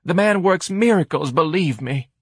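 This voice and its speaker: A man's voice: on the high side, animated, normal speed, breathy edge, no echo, studio quality, voice pushed up. A man voicing a self-righteous, melodramatic middle-aged wife in an audiobook, nagging and reproachful. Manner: indignant, reproachful, dramatic.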